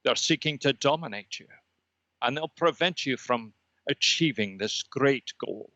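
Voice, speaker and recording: A man voicing a serene extra-dimensional light being with formal, solemn, halting speech on a comedy podcast. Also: mid-range voice, animated, pushed and bright, no room sound, highs cut off. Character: lofty extra-dimensional red dot energy being, serene and cosmic. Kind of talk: improvised comedy podcast character.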